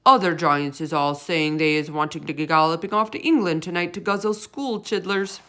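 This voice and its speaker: Woman voicing a loud, roaring, bullying storybook giant. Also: fairly low pitch, normal speed. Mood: gloating. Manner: loud, roaring, insulting, ungrammatical speech. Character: huge greedy bullying man-eating male giant, coarse and brutish. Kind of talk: storybook read-aloud character voice.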